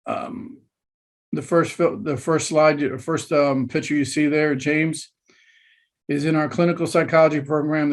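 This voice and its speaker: A man presenting a webinar, talking informally and haltingly with many pauses. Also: fairly high pitch. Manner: halting, many fillers and restarts.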